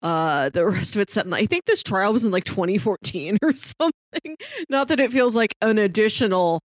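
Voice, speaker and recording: A woman's voice: mid-range voice, animated, moderate pace, dry recording. Woman podcast co-host, dry close sound. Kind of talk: casual review chat.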